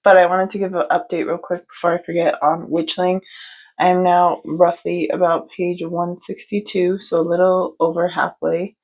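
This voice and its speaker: A woman's voice: fairly low pitch, little pitch movement, normal speed, darkish tone, rough recording. A woman book vlogger chatting casually about her reading, rambling with lots of fillers. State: stuffy from allergies. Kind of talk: casual book update chat.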